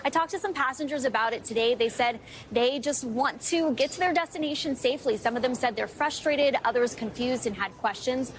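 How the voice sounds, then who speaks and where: A woman's voice: high voice, fairly quick, a little hiss or hum. An American woman news reporter delivering a live report on location. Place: on location at an airport.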